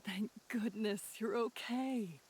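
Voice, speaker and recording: A woman's voice: medium pitch, takes it slowly, a little mellow, slight background noise, lightly acted. A woman voicing a caring, anxious young merchant companion in a video game. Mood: anxious, relieved.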